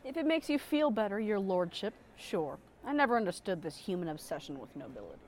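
A woman's voice: medium pitch, sing-song, fairly quick, darkish tone, slight background noise, lightly acted. A woman playing a mocking, mercenary fantasy adventurer with dry sarcasm in a comedic audio drama. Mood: mock deference.

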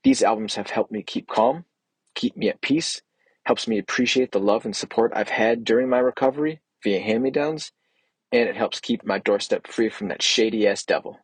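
Husky-voiced candid man. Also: medium pitch, normal speed, no room sound. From Chicago. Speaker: podcast listener sending a voice message. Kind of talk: reading a prepared listener message.

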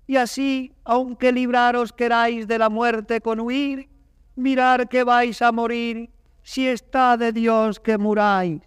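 An actor playing a wretched, mortally wounded soldier, wailing classical Spanish verse in drawn-out phrases with a Castilian accent. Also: male voice, very high-pitched, little pitch movement, clear, resonant tone. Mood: dying, fatalistic. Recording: reverberant hall, vintage studio drama record.

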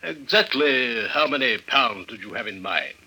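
An actor playing a jaunty, unflappable English clerk who climbs mountains, with a British accent and breezy, clipped understatement, in old-time radio style. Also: male voice, mid-range voice, expressive, normal speed, pushed and bright, breathy edge, full character voice. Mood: eager, sly. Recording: vintage radio drama recording.

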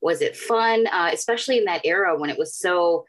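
Lower-voiced woman host asking questions. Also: brisk. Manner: asks at length with many fillers. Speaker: podcast host. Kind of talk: interview questions.

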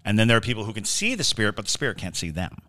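A man co-hosting a podcast, telling stories and explaining in a casual but halting, deliberate way with drawn-out words. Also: fairly low pitch, big pitch swings, bright, forceful tone. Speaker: podcast co-host. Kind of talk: casual discussion and storytelling.

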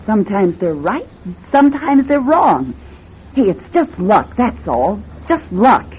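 An actress playing an uneasy, self-doubting stage psychic who protests earnestly in a radio drama. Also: female voice, mid-range voice, big pitch swings, a little slow, darkish tone, breathy edge, exaggerated voice. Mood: uneasy, insistent. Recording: dull radio recording, faint background noise.

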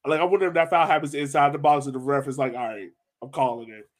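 A man's voice: medium pitch, steady tone, fast. An American man chatting casually about sports as a podcast co-host.

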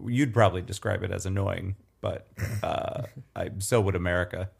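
A man with a somewhat low, nasal and throaty voice chats on a podcast. He rambles through stories and pokes fun at himself in an easy, casual way. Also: normal speed, vocal fry, breathy edge. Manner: self-deprecating, rambling storyteller. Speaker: podcast co-host. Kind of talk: casual chat.

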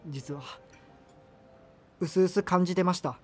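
Radio drama role: hesitant, earnest young culture geek, wordy and apologetic, over a music bed. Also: male voice, sits high, slow pace, a little mellow. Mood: confessional. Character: awkward, earnest, apologetic subculture-obsessed young man. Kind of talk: naturalistic radio drama dialogue and monologue.

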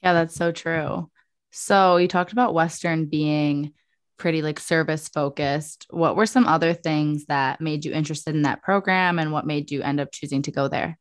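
A woman's voice: deep voice, fairly even intonation, normal speed, no echo, studio quality. A young woman hosting a podcast, asking upbeat, friendly questions in a casual chat. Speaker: podcast host.

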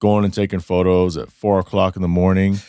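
A man hosting a casual podcast, hoarse and losing his voice, talking in a loose, rambling way with some swearing. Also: sits low, level tone, brisk, warm tone, no echo, studio quality. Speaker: podcast host. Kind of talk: casual chat. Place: studio.

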